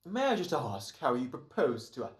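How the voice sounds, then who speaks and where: A man's voice: medium pitch, sing-song, normal speed, lightly acted. Man as wry, swooping radio actor in echoey room. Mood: mocking defiance. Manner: sardonic, theatrical. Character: Ed, wry wisecracking male radio actor in rehearsal. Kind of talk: old-time radio comedy-horror acting. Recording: roomy echoing home recording.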